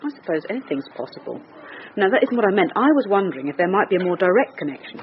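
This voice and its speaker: A woman's voice: medium pitch, big pitch swings, racing, lo-fi sound, a little hiss or hum, close to an everyday voice. A woman with a British accent acting in a radio drama as a shrewd, probing, dry-witted police detective. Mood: musing, sceptical. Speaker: actor.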